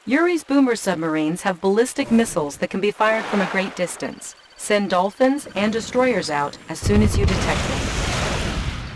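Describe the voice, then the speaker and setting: An actress playing a composed military intelligence officer giving scripted briefings in a video game. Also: female voice, mid-range voice, animated, normal speed, natural voice. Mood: composed, businesslike. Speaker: mission briefer. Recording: clear battle and vehicle noise behind.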